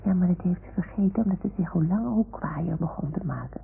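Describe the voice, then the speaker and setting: Earnest little boy, creaky, old radio. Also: fairly low pitch, quick pace, heavily acted. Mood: defensive, confiding. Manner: childlike, earnest, repeating adults' words. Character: imaginative young boy talking to his toy animals. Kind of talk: 1960s Dutch radio play acting. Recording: old radio recording, phone-like band, noise.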